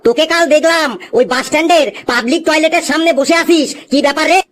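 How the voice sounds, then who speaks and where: High-pitched, fast-talking, exasperated cartoon schoolteacher played by a woman. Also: slight background noise, lightly acted. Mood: questioning, incredulous. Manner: scolding, questioning, threatening. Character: exasperated, scolding schoolteacher in a comic classroom cartoon. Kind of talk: cartoon comedy dialogue.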